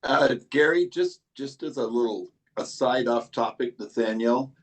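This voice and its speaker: Average-pitched man making casual meeting remarks. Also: unhurried. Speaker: teleconference participant. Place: online teleconference.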